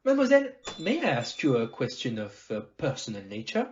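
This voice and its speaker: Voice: mid-range voice, huge pitch swings, fairly quick, slight background noise. Fussy, confident Belgian male detective acted by an amateur for an audio play; wildly swooping intonation, didactic and theatrical, slightly muffled recording. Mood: courteous, wry. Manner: theatrical, didactic, rhetorical "eh?" tags, French phrases.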